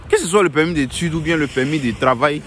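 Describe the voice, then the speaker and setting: A man's voice: a little high, fast, a bit bright, a little hiss or hum. A young man giving earnest, repetitive advice in a casual monologue, often restarting his sentences.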